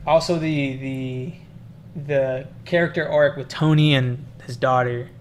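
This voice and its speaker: Man chatting casually over clear background noise. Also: medium pitch, steady tone, unhurried. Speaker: podcast host. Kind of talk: casual movie review chat.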